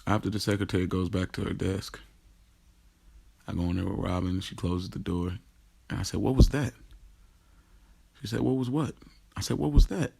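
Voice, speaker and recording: Lovestruck young guy, very gravelly, husky, informal confessional storytelling, audio drama. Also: sits low, moderate pace, long pauses, a little mellow, exaggerated voice. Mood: hurt, confronting. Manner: informal, slangy, acts out quoted dialogue. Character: lovestruck young man confiding his secret office romance. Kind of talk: first-person audio drama monologue, conversational storytelling.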